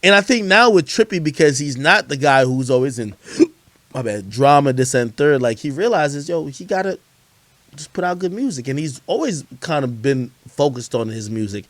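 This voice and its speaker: Male livestream host, higher-pitched and very expressive, casual slangy storyteller, swears freely, dry close sound. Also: moderate pace, dry recording. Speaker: livestream host commenting on hip hop.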